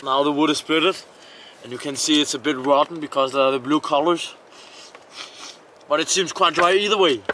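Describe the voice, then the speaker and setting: A man with a Danish accent chatting casually to camera as an outdoor vlogger, in short, plain, halting phrases, recorded outside. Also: a little high, background noise. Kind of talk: casual chat narrating camping tasks to camera. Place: outdoors at a winter campsite.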